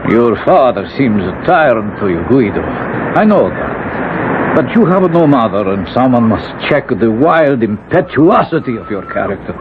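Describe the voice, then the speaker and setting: Male voice, grave father confessor, slow swooping delivery, paternal chiding, radio play, tinny and very noisy. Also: mid-range voice, big pitch swings. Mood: stern concern. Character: grave, admonishing Italian priest, a father confessor. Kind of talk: 1970s radio drama acting.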